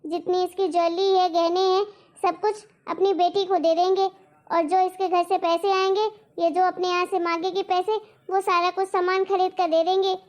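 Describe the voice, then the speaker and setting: A woman voicing a greedy, bossy middle-aged mother-in-law in a Hindi cartoon drama, nagging and insistent, with a pitch-shifted voice. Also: flat delivery, moderate pace. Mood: scolding, scheming. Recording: dull lo-fi sound.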